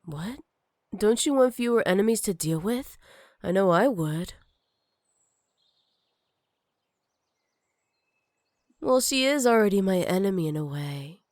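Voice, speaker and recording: A woman's voice: mid-range voice, animated, normal speed, a little mellow, dry recording, studio quality, lightly acted. A woman playing a jealous, possessive villain with magic, teasing and menacing in a sultry ASMR roleplay.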